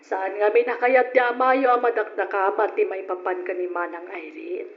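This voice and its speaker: A woman's voice: mid-range voice, steady tone, normal speed, natural voice. A woman voicing a middle-aged mother in an old radio play, motherly and a little scolding. The sound is dull and echoey, like a vintage broadcast. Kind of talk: 1940s-style radio drama acting.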